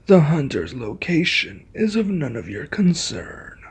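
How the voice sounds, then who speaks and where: A man voicing an arrogant, menacing reluctant ally in an ASMR roleplay, with a husky, taunting, threatening delivery. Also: a little high, big pitch swings, a little slow, full character voice. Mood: mocking.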